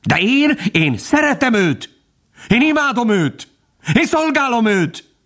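Shrill fanatical hunting dog. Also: male voice, very animated, heavily acted. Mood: zealous indignation. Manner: shouting, emphatic, indignant. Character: fierce fanatical hunting dog, barking with zealous devotion.

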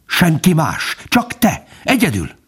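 A wounded fox from a storybook, played by a man, drained and bitter. The voice is raspy and very changeable in pitch, full of desperate reproach. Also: huge pitch swings, a little mellow, heavily acted. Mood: hissing contempt. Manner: pleading or hissing reproach. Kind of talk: dramatic storybook character acting.

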